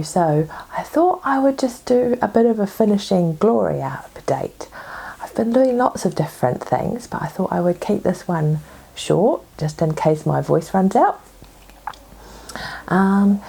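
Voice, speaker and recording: Husky woman with a cold. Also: mid-range voice, slight background noise. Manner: chatty, rambling, soft asides. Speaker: podcast host. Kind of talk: casual chat. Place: home.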